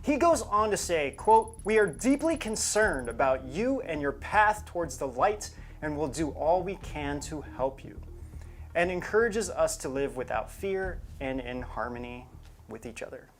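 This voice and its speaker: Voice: mid-range voice, big pitch swings, measured pace, soft background music. A co-host narrating in a conversational but scripted commentary style, with emphatic delivery on quoted material.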